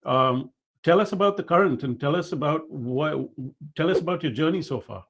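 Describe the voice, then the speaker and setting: A man's voice: a little high, moderate pace, fairly bright tone. A man hosts an online session. He hands over to the next speaker in a casual way, with a few ums and repeated words. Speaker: session host. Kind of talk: introducing a speaker.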